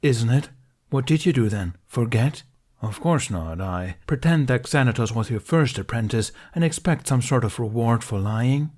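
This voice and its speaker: A man's voice: medium pitch, lively intonation, moderate pace, darkish tone, close to an everyday voice. A man voicing a furious, grieving middle-aged knight who speaks in a heated, accusing, raised voice in an audiobook reading.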